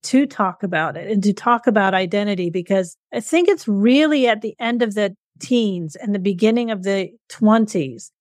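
A middle-aged mother talking earnestly as an interview guest, sprinkling her speech with little fillers. Her voice is at a normal middle pitch. The recording is close and dry. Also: moderate pace, few pauses, no echo. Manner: earnest, frequent "you know" fillers. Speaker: guest co-author, parent. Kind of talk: casual interview chat.

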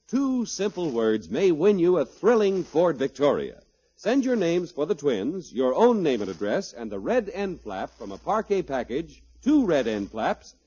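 An American man announces in the style of 1950s radio. His voice is fairly high and sweeps up and down. The recording is dull and old. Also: darkish tone, husky. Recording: muffled old radio recording, music behind. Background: music.

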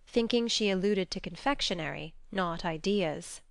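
Low-voiced woman narrating, dry sound. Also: normal speed, vocal fry, no room sound. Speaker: audiobook narrator. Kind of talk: scripted storybook narration.

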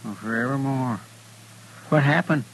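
Creaky, folksy western sidekick, acted by a man. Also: medium pitch, moderate pace, fairly bright tone, close to an everyday voice. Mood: worried, curious. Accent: rural American drawl. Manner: folksy, hesitant, eager. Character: folksy, anxious, deferential frontier townsman sidekick, male. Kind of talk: old-time radio drama acting. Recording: noisy vintage radio recording with hum.

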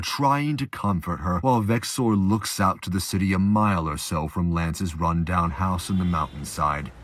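A man's voice: sits low, a bit bright, dry recording, clean studio sound. A man reading scripted dramatic narration for a fan-made audio drama.

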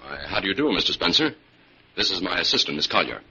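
Radio investigator, very low pitch, lively inflection, courteous, probing, old-time drama style. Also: male voice, fast, slightly rough, exaggerated voice. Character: Jim Brandon, brisk businesslike male investigator. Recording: muffled, noisy old radio recording.

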